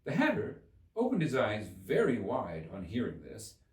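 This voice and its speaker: A man reading a children's storybook aloud as a narrator, in an expressive scripted style. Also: lowish voice, normal speed. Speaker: audiobook narrator. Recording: roomy, slightly distant microphone.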